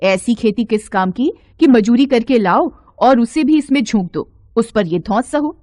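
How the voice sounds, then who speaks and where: Woman acting sharp-tongued, exasperated peasant wife, animated voice. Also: on the high side, normal speed, dry recording, studio quality, lightly acted. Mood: bitter, pleading. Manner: scolding, sarcastic, emphatic. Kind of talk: dramatised audio storybook. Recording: faint noise with crickets.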